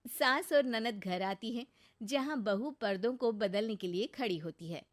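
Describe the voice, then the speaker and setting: High-voiced woman narrating a story. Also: unhurried, no echo, clean studio sound. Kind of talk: scripted cartoon story narration.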